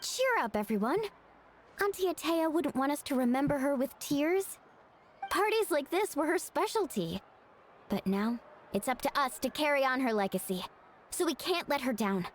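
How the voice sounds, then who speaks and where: A woman's voice: sits high, big pitch swings, moderate pace, slight background noise. An actress voicing a spirited, encouraging young tribeswoman in an anime game. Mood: rallying.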